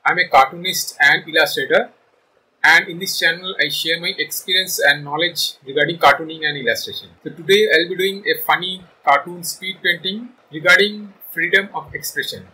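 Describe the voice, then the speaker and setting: A man's voice: on the high side, moderate pace, sharp tone, low-quality recording. A man, presenting to camera as a channel host in a small room. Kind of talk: video introduction to camera. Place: small furnished room indoors. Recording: clear background noise.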